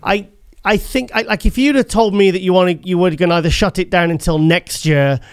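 High-pitched male podcast host, dry studio sound. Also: fairly quick, clear, resonant tone, dry recording. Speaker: host, interviewer. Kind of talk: casual sports podcast interview. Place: indoor studio. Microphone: close studio microphone on arm, headphones.

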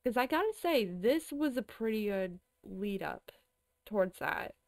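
A woman hosting a reaction video. She chats casually, keeps saying "I gotta say," and bursts into loud gasps and cries of alarm. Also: medium pitch, animated, a little slow. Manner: chatty, often repeats "I gotta say". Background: music from the clip she is watching.

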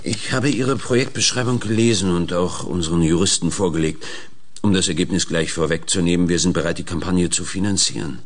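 A man's voice: sits low, brisk. An actor playing a curt, domineering male industry lobbyist in a radio play, brusque and condescending. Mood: businesslike.

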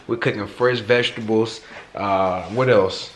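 Man, thirties, vlog host, casual slangy chat, lowish voice, home kitchen. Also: steady tone, a little slow, slight background noise. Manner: laid-back, talks straight to viewers with lots of slang. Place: home kitchen and living room, indoors. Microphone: handheld camera at arm's length.

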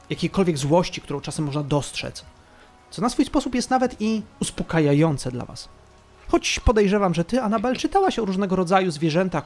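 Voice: medium pitch, darkish tone. A game master narrating a tabletop role-playing game.

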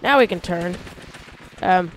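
A man hosting a casual gameplay video, talking through how he builds a vehicle, with excited yelps when he drives it. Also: high voice, slow, a little hiss or hum, low-quality recording. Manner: chatty, explains while building, excited yelps when driving. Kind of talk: casual let's-play commentary.

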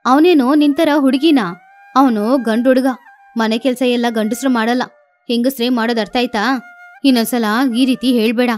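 A woman's voice: fairly high pitch, steady tone, moderate pace, soft background music, natural voice. Cartoon mother bird, voiced by a woman: strict, bossy, given to lecturing. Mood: scolding. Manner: scolding, lecturing. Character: strict mother sparrow, bossy with daughter, doting on son.

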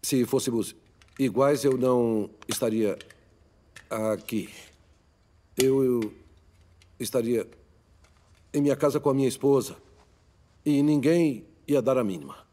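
A man's voice: medium pitch, dark tone, natural voice. Man acting stammering, proud Brazilian-dubbed prince. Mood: stiff, guarded. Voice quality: stammer with halting repeated words. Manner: stiff, formal, defensive. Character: stammering, proud, irritable middle-aged British prince. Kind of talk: film dubbing in Portuguese.

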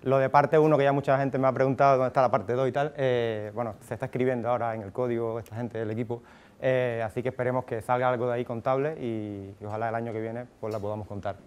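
A man in his thirties with a Cuban accent speaks to a conference room through a handheld mic. His voice is smooth and resonant at an ordinary pitch. He talks casually, thinking aloud with frequent fillers and restarts. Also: fairly even intonation, fast talker, darkish tone. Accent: Cuban Spanish. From Cuba. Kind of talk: tech conference talk. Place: conference room, audience present. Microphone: handheld microphone.

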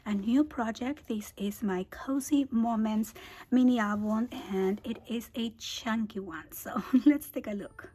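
A woman hosting a scrapbooking tutorial, hoarse and half-whispering as she loses her voice, chatty with small laughs as she walks through her album. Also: on the high side, measured pace, mellow. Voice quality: husky. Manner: casual, chatty, laughs between phrases. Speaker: scrapbooking channel host. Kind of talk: craft tutorial walkthrough. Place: indoors at a craft table.

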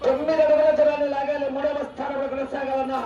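A man giving excited, exclamatory live sports commentary over an outdoor public address. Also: very high voice, fast talker, lo-fi sound, over loud music. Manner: excited, exclamatory, calling out times and cheering on competitors. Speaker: live announcer at a rural bullock-pulling contest. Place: outdoor event ground. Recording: distant, echoing outdoor PA, faint crowd. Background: faint crowd.

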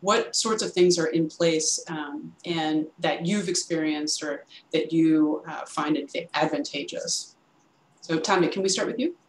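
A woman moderating a panel over a video call, brisk and encouraging. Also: low-pitched. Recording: echoey room, faint hiss.

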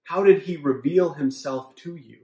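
A man in his thirties preaches from a room at home in an earnest, measured way, his voice a little higher than most men's. The room is roomy and echoey around him. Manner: earnest, measured, softening to a hushed prayer. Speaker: pastor. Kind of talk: sermon with closing prayer. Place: home room with bookshelves, indoors.

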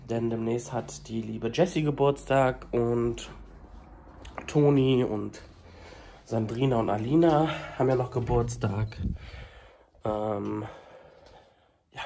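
A young man vlogging casually at home with a cold, talking haltingly with many fillers into a phone held at arm's length. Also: medium pitch, steady tone, gravelly, dark tone, a little hiss or hum. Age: young adult. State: stuffy with a cold, coughing. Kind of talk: casual daily vlog to camera. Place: small home kitchen and flat indoors. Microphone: handheld phone at arm's length.

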